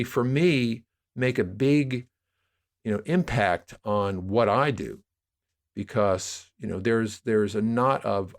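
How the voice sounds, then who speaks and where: An older man in his sixties with a fairly low voice. He speaks as a podcast guest, explaining his work conversationally, halting and restarting with emphasis as he works through each thought. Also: creaky. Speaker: podcast guest, audio drama producer. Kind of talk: conversational interview storytelling.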